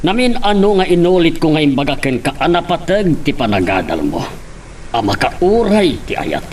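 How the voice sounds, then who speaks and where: Stern father, widely swinging pitch. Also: sing-song, normal speed, a little hiss or hum. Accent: Filipino (Ilocano). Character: stern middle-aged father, protective and insistent. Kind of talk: Ilocano radio drama acting.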